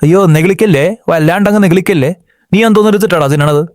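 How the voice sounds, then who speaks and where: A cocky young slacker, voiced by a man, snaps back in a Kerala accent. His voice sits a little high, and it sounds close and dry. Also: fast, a little mellow, dry recording, close to an everyday voice. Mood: sulky. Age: young adult. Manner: sulky, cocky comebacks. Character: lazy jobless young man, cocky and sulky.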